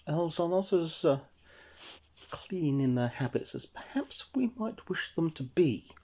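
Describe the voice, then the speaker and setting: A man's voice: on the high side, lively intonation, measured pace, mellow. A man narrating a children's story as a storyteller.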